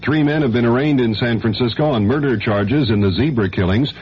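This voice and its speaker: An American man reads an hourly radio news bulletin as anchor. His mid-pitched voice comes through a dull old broadcast with faint noise. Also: a little fast.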